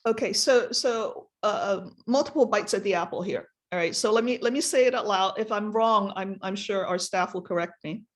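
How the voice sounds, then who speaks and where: Woman, committee chair, mid-pitched, hesitant, self-repeating. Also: moderate pace, hardly any pauses. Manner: hesitant, repeats words while thinking. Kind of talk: chairing a public meeting.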